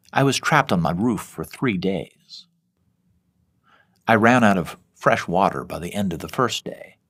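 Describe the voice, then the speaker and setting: A man narrates a scripted horror story in the first person. His voice sits very low. He reads as the author of a fiction podcast. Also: a bit bright.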